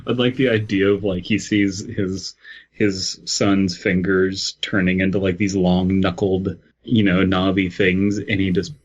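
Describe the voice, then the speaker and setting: A man's voice: low-pitched, level tone, unhurried. A man co-hosting a comedy podcast, casually joking and reading a story, on a dull lo-fi microphone. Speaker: podcast co-host.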